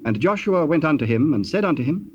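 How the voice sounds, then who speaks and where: A man's voice: on the high side, big pitch swings. Man narrating scripture, slightly muffled. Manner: measured, formal storytelling. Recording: dull old cassette, faint noise.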